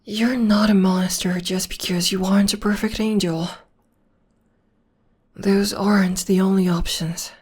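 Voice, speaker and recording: A young woman voicing a gentle, devoted witch girlfriend in an ASMR comfort roleplay, speaking softly and often in a whisper. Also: medium pitch, fairly even intonation, measured pace, husky. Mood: tender, soothing. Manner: soft, soothing, often whispering. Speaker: voice actor.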